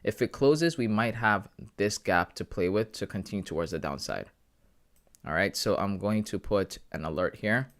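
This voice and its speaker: Chatty lower-voiced man in his thirties. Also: animated, moderate pace, fairly bright tone. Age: 30s. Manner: chatty, slangy, laughs often, addresses viewers. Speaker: livestreaming forex trader. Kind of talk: casual live-stream chat.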